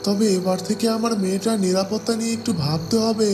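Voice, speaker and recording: Casting: actor for worried cartoon court minister, high-pitched, stammering and muttering, music and night insects behind. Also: male voice, fairly even intonation, lightly acted. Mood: fretful. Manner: halting, stammering, muttering to himself. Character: fretful royal minister, worried scheming father. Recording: loud music and chirping crickets behind.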